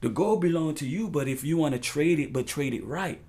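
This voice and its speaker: A man's voice: a little high, a little fast, darkish tone. Impassioned man preaching in street slang. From United States. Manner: preaching, profane, repetitive, emphatic. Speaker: talk show host. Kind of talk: impassioned freestyle monologue with street slang and rhyming phrases.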